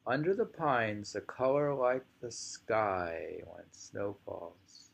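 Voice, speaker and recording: Slow, gravelly low-voiced man reading poetry. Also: muffled recording. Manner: unhurried, musing, with drawn-out phrases and pauses. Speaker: poetry reader and commentator. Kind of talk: slow poem reading with casual musing commentary.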